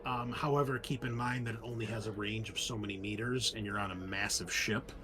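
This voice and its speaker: A man's voice: fairly low pitch, steady tone, a little fast. Man running a tabletop game over music. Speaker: game master. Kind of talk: casual narrating of a tabletop game. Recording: music playing behind the voice.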